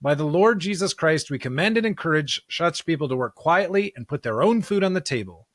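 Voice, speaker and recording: A man's voice: fairly high pitch, lively intonation, fairly quick, fairly bright tone, no echo, clean studio sound. A man hosting a Bible podcast, explaining and reading scripture in an earnest, emphatic way. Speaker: podcast host discussing scripture.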